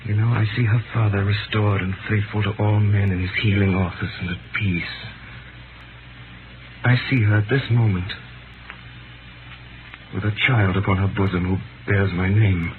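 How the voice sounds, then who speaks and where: An actor playing a calm, tender man resigned to death, in solemn, measured 1930s radio drama style on a scratchy old recording. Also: on the low side, phone-quality sound, close to an everyday voice. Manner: solemn, measured, theatrical delivery.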